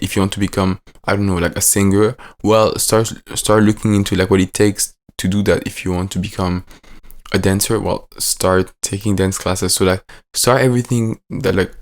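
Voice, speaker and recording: Teen guy on the edge of twenty, low voice, French accent, rambly and filler-heavy vlog delivery. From French-speaking. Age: late teens. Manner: rambling, many fillers and restarts. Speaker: vlogger. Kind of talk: casual reflective vlog.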